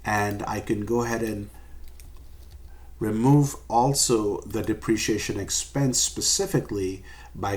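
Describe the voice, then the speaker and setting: A man's voice: lowish voice, darkish tone. A man giving an explanatory recorded lecture as a professor, going step by step and stressing key points. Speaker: accounting professor.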